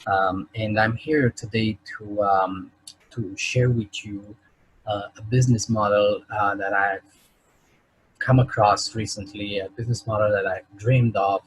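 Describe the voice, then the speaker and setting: Romanian guy pitching a business, lots of ums, low husky voice, kinda muffled. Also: dry recording. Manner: hesitant, many fillers and restarts. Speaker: business presenter. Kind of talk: recorded sales pitch.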